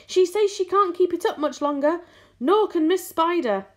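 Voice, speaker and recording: Woman acting out a frantic, high-shouting bossy centipede. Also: lively intonation, normal speed. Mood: urgent yelling. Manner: shouted, exhorting commands. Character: frantic bossy male centipede, bullying and yelling.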